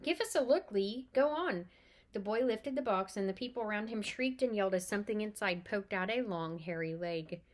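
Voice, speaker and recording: A woman reading a children's novel aloud as storyteller, expressively and with animation. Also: medium pitch.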